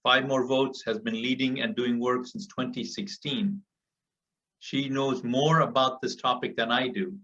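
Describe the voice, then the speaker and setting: A man's voice: mid-range voice, steady tone, a little slow, bright, forceful tone. A man from Africa giving an earnest, motivational speech to people on a group call, driving his points home through repetition. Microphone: call audio. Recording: muffled, distant call audio.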